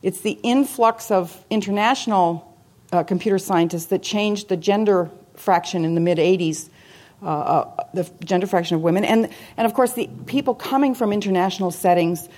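A woman's voice: mid-range voice, normal speed, a little mellow. A woman speaking conversationally and anecdotally as a guest professor answering questions at a public talk. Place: talk venue with an audience.

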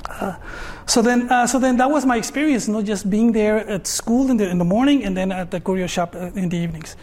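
A man in his fifties with a Mexican accent talks about his work on stage. His voice sits high for a man. He pauses often and tags many of his phrases with a questioning "no?". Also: darkish tone. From Mexico, Tijuana. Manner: hesitant, filler-heavy, frequent tag "no?". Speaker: artist guest. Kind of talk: conversational talk on stage.

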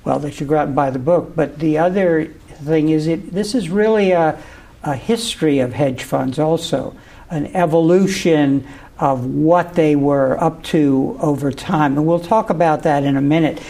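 A man's voice: fairly high pitch, moderate pace, gravelly, warm tone. A man hosting an academic interview show, asking questions hesitantly with many uhs and restarts.

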